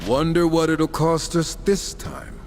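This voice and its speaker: High-pitched, cocky warrior horseman. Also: steady tone, takes it slowly, big-room sound, over music. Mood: sarcastic. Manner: sarcastic, irreverent. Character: cocky, wisecracking male horseman warrior, reckless. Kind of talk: casual quips in video game cutscene acting.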